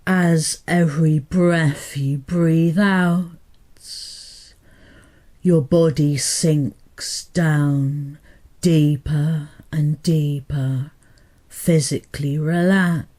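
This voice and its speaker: A woman hypnotherapist guiding a meditation in a soothing, deliberate manner, pausing between short phrases. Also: low-pitched, fairly even intonation, dark tone. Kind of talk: guided meditation hypnotherapy narration.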